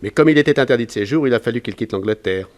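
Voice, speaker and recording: An actor playing a resigned, talkative ship's security officer, conversational and wry, in a 1960s French radio serial. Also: male voice, mid-range voice, expressive, racing, a little mellow, lightly acted.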